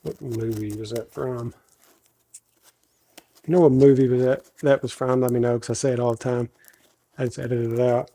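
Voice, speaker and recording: A man with a country American accent and a slight head cold, talking himself through a workshop project in a loose, thinking-aloud way. His natural voice is very deep, and he often corrects himself mid-sentence. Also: steady tone, moderate pace, long pauses, warm tone, slight background noise. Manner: talks to himself while working, self-correcting. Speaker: host showing a woodworking project.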